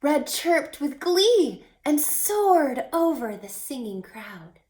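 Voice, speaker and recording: Woman, higher-pitched voice, unhurried pace, expressive teacher reading to children, storybook read-aloud. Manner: expressive, teacherly, pausing for questions. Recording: echoey, roomy sound.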